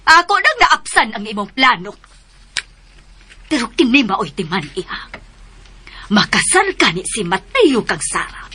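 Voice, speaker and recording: A stern, shrewd mother in a radio drama, with animated, forceful delivery. She scolds and commands her grown sons. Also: a little high, measured pace, long pauses, slight background noise, heavily acted. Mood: stern, accusing.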